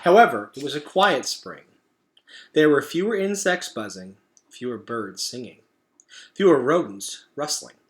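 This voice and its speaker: A man narrating a children's storybook aloud in an expressive storytelling manner, like a teacher reading to pupils. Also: mid-range voice, measured pace.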